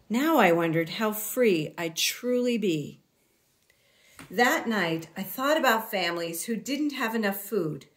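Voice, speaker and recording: A woman reads a children's story aloud in a mid-range voice. Her pace is unhurried and deliberate. She is expressive, leaving dramatic pauses between phrases. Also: mid-range voice. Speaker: picture book reader.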